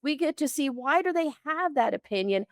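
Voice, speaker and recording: A woman's voice: high-pitched, lively intonation, fairly quick, no room sound, studio quality. A woman from the Bronx talking conversationally as an interview guest and coach, acting out imagined quotes as she explains. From the Bronx, New York, United States.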